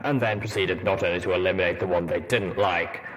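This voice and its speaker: A man voicing a stern, suspicious armored soldier pony with a deep rumbling voice and a wry, deadpan manner in a story reading. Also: fairly quick, rough recording. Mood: stern, skeptical.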